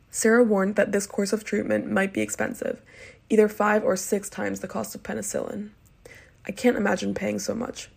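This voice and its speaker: A woman's voice: medium pitch, gravelly, a little mellow, slightly rough. A nineteen-year-old woman from California, giving a plain, unadorned dialect sample. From Palo Alto, California. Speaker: dialect archive speaker. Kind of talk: dialect sample reading and talk.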